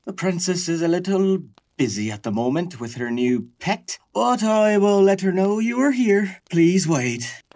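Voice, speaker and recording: A woman playing a formal, measured steward to a princess in an audio drama. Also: lowish voice, expressive, mellow.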